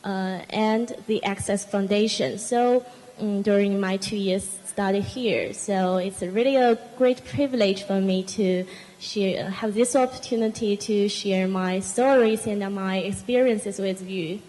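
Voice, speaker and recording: A woman's voice: mid-range voice, steady tone, mellow. Formal young woman, hall reverb. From China. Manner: formal, with frequent "uh" pauses. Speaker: panelist. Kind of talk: formal self-introduction.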